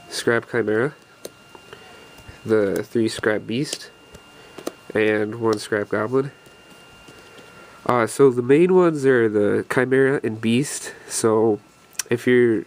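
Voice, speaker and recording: A man's voice: on the low side, gravelly, a little hiss or hum. A young man with a cold, sounding congested and short of breath, rambling through a card deck walkthrough with many ums and restarts. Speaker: deck profile video host. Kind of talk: casual game deck walkthrough.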